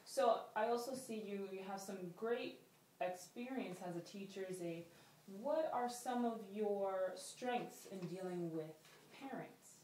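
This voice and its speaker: A woman interviewing a job applicant, brisk and saying okay often. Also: medium pitch, a little mellow, a little hiss or hum. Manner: brisk, many okays. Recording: echoey room from far off.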